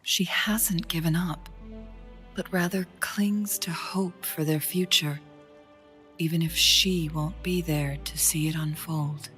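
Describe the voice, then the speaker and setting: A calm, measured strategist. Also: female voice, deep voice, soft background music. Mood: composed. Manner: calm, measured, softly breathy. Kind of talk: video game cutscene dialogue.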